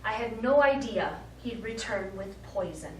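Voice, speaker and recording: A composed, discontented wife with a calculating streak, played on stage by a woman. Her voice is fairly high and her delivery formal and deliberate. The recording is distant and echoey, with faint noise. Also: animated. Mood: aggrieved. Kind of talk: live stage play acting. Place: small theatre studio, distant microphone.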